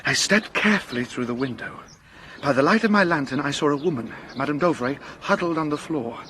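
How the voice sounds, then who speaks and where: An earnest, humble police sergeant. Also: male voice, a little high, animated, fairly quick, bright, forceful tone, a little hiss or hum. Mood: grim. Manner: deferential, careful storytelling. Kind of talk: radio drama acting.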